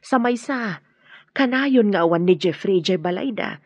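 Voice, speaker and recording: An actress with a husky voice and Ilocano accent playing a worried, humble, caring mother in a radio drama. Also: medium pitch, animated, unhurried, no echo. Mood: worried, advising.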